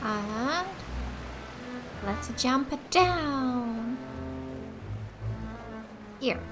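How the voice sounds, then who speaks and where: A woman doing a casual let's play in short, playful, unhurried remarks. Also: sits high, expressive, music in the background. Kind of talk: casual gameplay chat.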